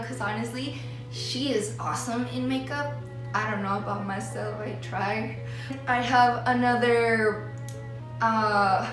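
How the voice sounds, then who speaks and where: A young woman from Mexico chatting casually to her phone camera as a vlogger, in a bubbly, nervous, self-deprecating way. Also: a little high. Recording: very echoey room, a little far from the mic, music behind.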